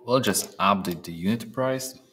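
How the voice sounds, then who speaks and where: A man narrating a step-by-step software training demonstration, speaking haltingly with pauses in mid-phrase. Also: fairly low pitch, a little hiss or hum. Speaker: software trainer presenting a tutorial.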